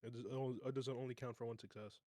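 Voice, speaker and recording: A man's voice: mid-range voice, level tone, racing, mellow, dry recording. A man playing a tabletop game, chatting casually and bluntly with plenty of swearing. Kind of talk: casual chat.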